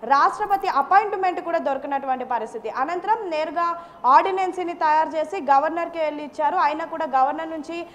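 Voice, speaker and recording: High-pitched woman reporter in a reverberant space. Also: fast, a bit bright. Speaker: news correspondent. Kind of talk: live news report, running unscripted explanation.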